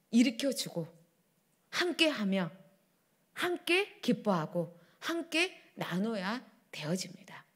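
A middle-aged woman pastor preaching a sermon at a church pulpit, swinging between loud declarations and hushed asides. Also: medium pitch. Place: church sanctuary, standing at pulpit. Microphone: pulpit microphones.

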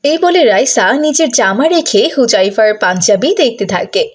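A woman's voice: on the high side, expressive, moderate pace. A woman narrating a scripted children's story.

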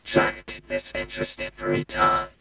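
A man voicing a broken animatronic rabbit villain with a gravelly voice, taunting with mock-friendly, playful menace in horror-game lines. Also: moderate pace, close to an everyday voice. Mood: accusing, sinister. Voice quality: gravelly, creaky. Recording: loud music under the voice.

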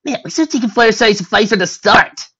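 A woman's voice: on the high side, lively intonation, quick pace, a bit bright, slightly rough, pitched above the performer's own voice, exaggerated voice. A woman voicing a brash, boisterous tomboy female pegasus with a cocky, exclaiming delivery for a story reading. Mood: excited. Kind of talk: fan fiction story reading. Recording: dull lo-fi recording.

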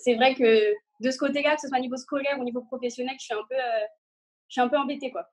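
A woman in her twenties speaks from a room on a video call. Her voice is on the high side with some huskiness, and she answers questions in a casual way. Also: steady tone, fast. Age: 20s. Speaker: guest, dance student.

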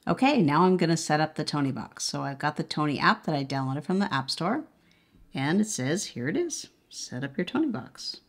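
A woman with a low-pitched voice co-hosts a relaxed product review. She talks casually as she unboxes and demonstrates an item. The recording is made indoors in a home. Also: a little fast.

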